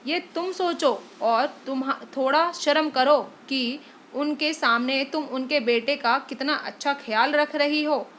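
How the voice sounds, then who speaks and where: A harsh, complaining young husband, as a woman reader puts him on. The voice sits high and bright with a steady faint hiss behind it. He talks loudly and picks at everything. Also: slow. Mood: scornful. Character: irritable young husband, scolding his wife.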